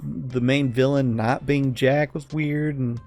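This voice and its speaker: A man's voice: medium pitch, fairly even intonation, slow pace, a little mellow, faint music behind. A man co-hosting a casual podcast, giving blunt opinions with some swearing and often searching for words. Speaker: podcast co-host.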